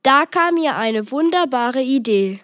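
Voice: high-pitched, expressive, unhurried, fairly bright tone, dry recording, clean studio sound. A child reading scripted narration for a children's story.

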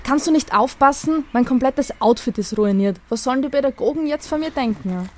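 Fussy young mother, acted by a woman, higher voice. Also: animated, a little fast, a little mellow, a little hiss or hum. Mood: irritated. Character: fussy, irritable mother of two, softening into helpful listener. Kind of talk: amateur audio drama acting.

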